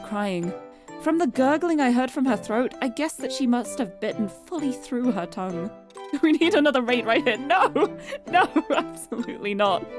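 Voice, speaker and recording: A woman streamer reading visual novel narration aloud, expressively and dramatically, with casual asides to viewers. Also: fairly high pitch. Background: music.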